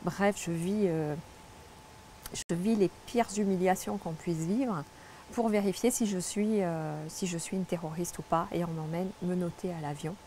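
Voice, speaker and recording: A middle-aged woman from French Guiana recounts her path in a slow, reflective interview manner, often restarting her sentences. Her voice sits low and sounds soft and mellow. A faint hiss of noise runs under the recording. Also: fairly even intonation. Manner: earnest, reflective, many restarts and fillers. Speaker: interview guest, spiritual guide. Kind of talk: personal testimony in conversation.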